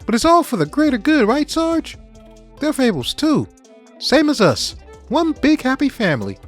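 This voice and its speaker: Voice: sits high, very animated, normal speed, faint music behind. A voice actor playing an eager, cheeky talking field mouse, a humble police corporal in a comic dub. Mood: chipper. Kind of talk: comic dub character acting.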